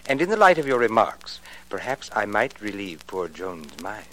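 A pompous, patronizing business manager. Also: male voice, fairly low pitch, big pitch swings, moderate pace, a bit bright, low-quality recording. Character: pompous older male business manager, smooth and condescending. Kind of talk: 1940s radio acting. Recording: old radio broadcast with faint hiss.

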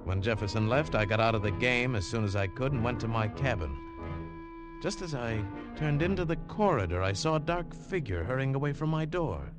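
A man's voice: medium pitch, expressive, a little fast, music in the background, natural voice. A man playing a tough American adventurer who narrates his own story with a terse, hard-boiled delivery in a 1950s radio drama. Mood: narrating. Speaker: narrator and lead.

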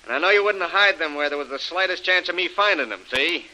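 Tough-guy radio villain with a bright, cutting tone and a slightly high voice, clipped and menacing. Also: fast, low-quality recording. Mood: threatening. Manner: hard-boiled gangster talk, clipped threats. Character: menacing male villain, tough-talking bully. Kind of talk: 1930s radio acting.

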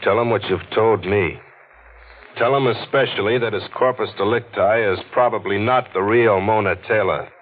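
An actor playing a low-voiced, hard-boiled radio sleuth. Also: male voice, normal speed, lightly acted. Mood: commanding. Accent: American. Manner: tough, clipped, slangy, pressing. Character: hard-boiled, bullying newspaperman sleuth, cynical and slangy. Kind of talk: 1940s radio noir acting with narration. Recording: muffled old radio drama recording.

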